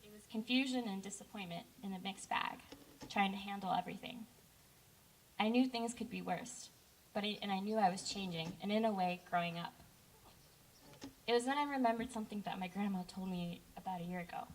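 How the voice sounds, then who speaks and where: A young woman giving a heartfelt personal speech to campers, earnest and warm in tone. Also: medium pitch, brisk, recorded from a distance, a little hiss or hum, low-quality recording. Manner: heartfelt, earnest, with brief self-corrections. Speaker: camp director giving a speech.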